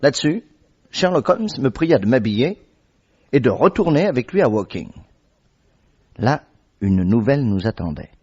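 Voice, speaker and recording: An actor playing a doctor narrating a vintage radio drama in a steady, measured way. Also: male voice, mid-range voice, slightly rough. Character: measured male doctor narrator, middle-aged. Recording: dull lo-fi old radio recording.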